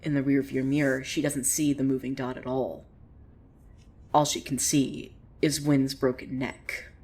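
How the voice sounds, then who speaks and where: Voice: mid-range voice, a little slow, lightly acted. A woman playing a wry, theatrical narrator of a surreal small town in a scripted audio drama, telling the tale dramatically. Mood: somber, hushed. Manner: theatrical, swinging from hushed to excited. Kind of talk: dramatic scripted storytelling monologue.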